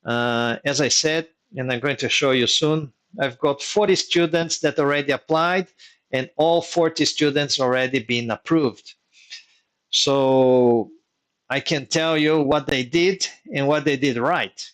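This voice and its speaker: A man's voice: mid-range voice, measured pace, a bit bright. Methodical man mentoring, native Portuguese speaker. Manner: methodical, repeats key points for emphasis. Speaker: engineering migration mentor hosting a workshop. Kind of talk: live online teaching session.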